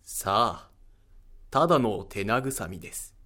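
A man's voice: fairly low pitch, expressive, takes it slowly, sharp tone, exaggerated voice. A man acting in an audio drama as a polite, contemplative figure who speaks formally while pondering a mystery. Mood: pensive. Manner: formal, courteous. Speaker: voice actor in an amateur audio drama. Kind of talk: dramatic dialogue.

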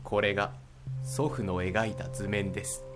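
A man's voice: fairly low pitch, fairly even intonation, natural voice. Man acting polite, enigmatic man over faint music. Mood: solemn, mysterious. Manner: polite, measured, fatalistic. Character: calm, enigmatic, courteous man who knows ancient secrets. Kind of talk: audio drama acting.

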